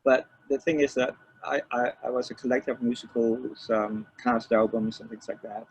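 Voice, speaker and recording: An older man from Malaysia, reminiscing as an interview guest in a halting way, repeating phrases as he explains. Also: mid-range voice, fairly even intonation. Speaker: interview guest, record producer. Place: room with shelves of DVDs, on a remote call. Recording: dull lo-fi call, faint noise.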